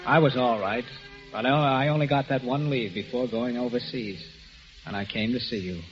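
A man's voice: medium pitch, normal speed, natural voice. Guy doing an earnest lovesick narrator, mid voice, old muffled radio with music under it. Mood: reflective. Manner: reflective first-person narration, earnest. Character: devoted, lovesick male friend narrating. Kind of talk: 1940s radio drama narration.

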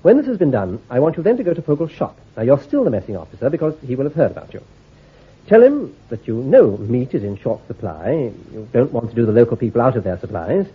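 A brisk intelligence commander, clipped and authoritative, husky voice, animated pitch, tinny phone-like old radio play recording. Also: male voice. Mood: commanding. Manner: clipped, authoritative, gives careful orders. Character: brisk, shrewd male army intelligence commander. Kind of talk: radio drama acting.

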